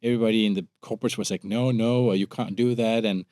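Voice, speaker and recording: A man's voice: fairly low pitch, fairly even intonation, a little mellow, no room sound. Danish-accented man, dry close sound. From Denmark. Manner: informal, halting with repeated words. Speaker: podcast guest, consultant. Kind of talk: casual conversational interview.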